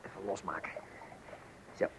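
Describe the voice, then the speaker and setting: A man's voice: normal speed, background noise, lightly acted. A man acting in a comic Dutch radio play as a clumsy, apologetic fellow who mutters and repeats himself. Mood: meek. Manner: muttering, repeating words in confusion. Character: bumbling, apologetic, easily flustered man, clumsy novice angler.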